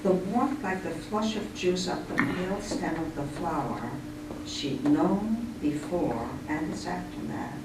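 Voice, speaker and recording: Lowish-voiced woman in a very echoey, noisy room. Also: expressive, a little slow. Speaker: discussion leader. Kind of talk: informal poetry discussion, posing questions to a group. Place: indoor room at a senior center. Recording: very echoey room, distant mic, loud noise.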